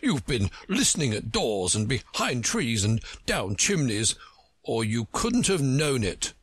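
Man putting on a pompous slow-drawling egg creature. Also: mid-range voice, wildly swooping pitch, fairly bright tone, no room sound, pitched above the performer's own voice, exaggerated voice. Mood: indignant. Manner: haughty, drawn-out, growling. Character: pompous, testy egg-man perched on a wall. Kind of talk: storybook character reading.

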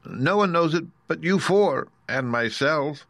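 A man's voice: on the high side, expressive, a little slow, a bit bright, no echo, voice pushed up. A man voicing a meek, pleading little old fake wizard with a trembling voice, in a storybook reading. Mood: sheepish, fretful.

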